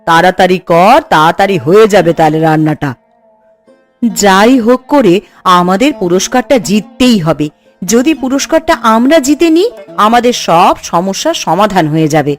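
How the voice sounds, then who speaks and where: A woman's voice: mid-range voice, expressive, normal speed, soft background music, natural voice. A woman voicing a hopeful, good-hearted village housewife cook in a cartoon, homely and earnest. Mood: hopeful urging. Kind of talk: cartoon voice acting.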